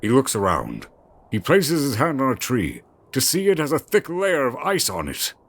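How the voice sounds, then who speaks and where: A man's voice: mid-range voice, huge pitch swings, normal speed. A man narrating an audio drama with dramatic scripted storytelling delivery. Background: music.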